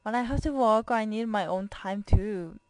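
A young woman with a Japanese accent gives a self-introduction for her class, her English careful and simple. Her voice is soft and mellow. The recording is dry and close, with no room sound. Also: mid-range voice, normal speed, dry recording. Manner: careful, earnest, with simple phrasing and small grammar slips. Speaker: college student.

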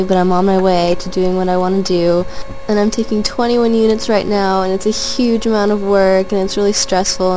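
A woman's voice: mid-range voice, dark tone, a little hiss or hum, rough recording. A young woman in her twenties, a college student, talking about herself in an earnest, rambling monologue that strings thoughts together with "and". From Southern California. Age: 20s. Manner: earnest, rambling, chains clauses with "and". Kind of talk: casual personal monologue.

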